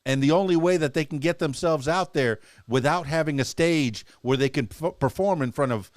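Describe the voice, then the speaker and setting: A man's voice: on the high side, normal speed, no echo, clean studio sound. A man with a Cuban Spanish accent hosting a casual podcast interview, talking in an effusive, chatty and playful way. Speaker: podcast host.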